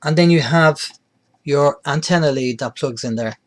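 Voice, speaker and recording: Higher-voiced man giving an informal tutorial. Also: moderate pace, a little hiss or hum. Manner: plain-spoken, explanatory, hands-on demonstrating. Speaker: presenter of a beginners' radio tutorial.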